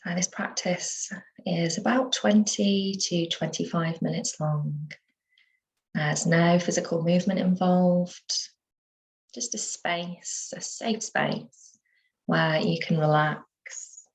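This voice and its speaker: A woman teaching yoga nidra, guiding a relaxation meditation in a soothing, unhurried manner with gentle pauses. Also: lowish voice, fairly even intonation, poor audio.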